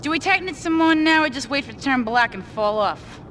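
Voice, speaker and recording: Game casting: chatty airhead girlfriend, high voice, clingy and flighty. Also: lively intonation, fast, a bit bright, a little hiss or hum. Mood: gushing, flighty. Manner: rambling, gushing, scatterbrained. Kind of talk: video game cutscene acting.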